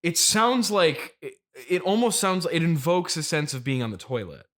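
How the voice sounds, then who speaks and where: A man's voice: on the high side, lively intonation, moderate pace, fairly bright tone. A man interviewing in a casual comedy chat, speaking in a hesitant, diplomatic way.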